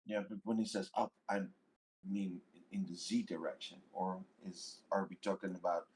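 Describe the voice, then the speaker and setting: Low-voiced man with faint background noise. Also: fairly even intonation, normal speed, a little mellow, rough recording. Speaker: player in a tabletop roleplaying game. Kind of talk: casual game chat.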